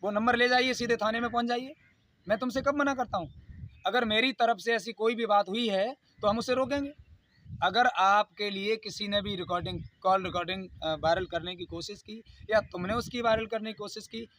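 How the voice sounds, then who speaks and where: A man's voice: high-pitched, steady tone, a little fast, fairly bright tone. A man giving an unscripted video statement, speaking in an aggrieved, insistent, pleading and repetitive way. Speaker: YouTube channel host.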